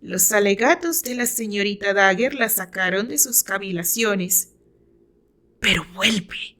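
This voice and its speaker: A woman's voice: medium pitch, expressive, moderate pace, fairly bright tone. A woman with a Mexican accent reading her own novel aloud as dramatic narration.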